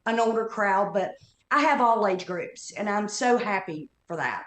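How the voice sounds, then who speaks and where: A woman's voice: mid-range voice, animated, normal speed, echoey room. A woman in her fifties with an Appalachian Southern accent, a comedian telling stories on a podcast in a chatty, perky way. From North Carolina Appalachians. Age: 50s. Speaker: comedian guest. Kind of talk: casual podcast chat.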